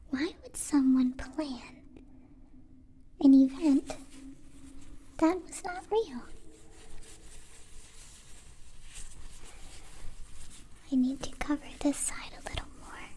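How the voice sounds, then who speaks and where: Woman doing a soft whispery alien for asmr, curious n gentle, halting formal talk, really slow, bit of background noise. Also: high voice. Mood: gentle, attentive. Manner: slow, halting, formal wording without contractions. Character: gentle curious young alien born in captivity. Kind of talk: whispered ASMR roleplay, close personal attention.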